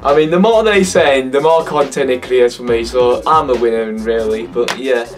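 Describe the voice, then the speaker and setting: A hoarse teenage young man with a Cumbrian accent, chatting and laughing in a casual reaction vlog from his bedroom. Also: mid-range voice, moderate pace, distant mic, faint music behind. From Cumbria, England. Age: teens. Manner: laughing, swearing, incredulous. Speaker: YouTuber. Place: bedroom, indoors. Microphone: webcam at desk.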